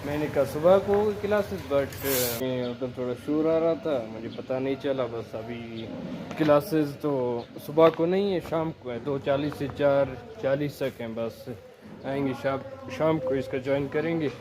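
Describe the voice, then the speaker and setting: A man's voice: mid-range voice, moderate pace, background noise. A young man from Pakistan chatting casually as a vlogger, thinking aloud and restarting his sentences. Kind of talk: casual vlog narration. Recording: loud music underneath.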